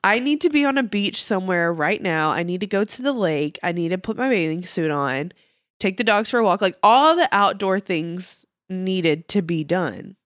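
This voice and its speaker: A woman from Texas co-hosting a podcast, chatty and giggly, her voice a little deep from morning allergies. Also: expressive, normal speed, no echo, studio quality. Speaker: podcast co-host. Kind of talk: casual chat.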